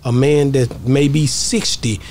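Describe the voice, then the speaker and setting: A man's voice: mid-range voice, a little slow, darkish tone, slight background noise. A man, chatting casually as a podcast host. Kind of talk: casual interview chat. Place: small podcast studio. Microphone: close studio microphone on boom arm.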